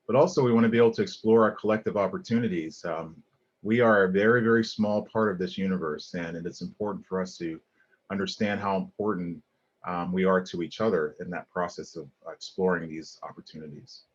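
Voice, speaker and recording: A man's voice: deep voice, brisk, highs cut off. A middle-aged man from Liberia, giving an earnest design lecture over slides with many ums and restarts. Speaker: guest lecturer, landscape architect.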